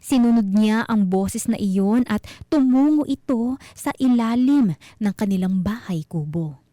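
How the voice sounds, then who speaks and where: Slow, measured Filipino woman storyteller. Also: on the high side, animated, mellow, no echo, studio quality. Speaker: host and storyteller. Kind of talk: scripted narration of mystery stories.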